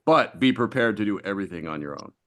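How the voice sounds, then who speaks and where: A man's voice: fairly low pitch, animated, fast, a bit bright. A man co-hosting a podcast, teasing his co-host in playful casual chat.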